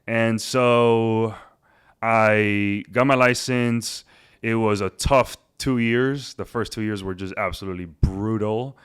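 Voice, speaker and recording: Low smooth-voiced young Cuban-born man. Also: slow. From Cuba. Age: 20s. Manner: relaxed, rambling with many fillers. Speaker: guest, realtor. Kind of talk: casual interview chat.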